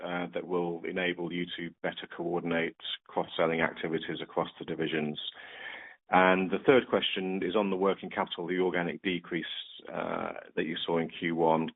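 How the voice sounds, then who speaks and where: A man's voice: sits low, slightly rough. A man speaking as a financial analyst, politely putting orderly questions on an earnings call over the phone. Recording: thin, narrow phone line.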